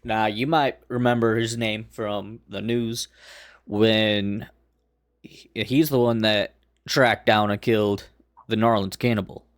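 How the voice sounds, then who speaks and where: A man playing a tabletop roleplaying game, improvising his character's lines in a measured, deliberate way, in short phrases. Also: lowish voice, little pitch movement, no echo, studio quality.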